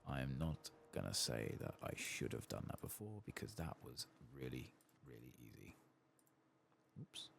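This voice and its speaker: A very low-pitched man speaks softly in an ASMR gaming style, with heavy vocal fry. His hushed, unhurried muttering moves lively in pitch, over faint background noise. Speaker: streamer playing a fantasy game. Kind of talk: soft-spoken, whispered ASMR gameplay commentary.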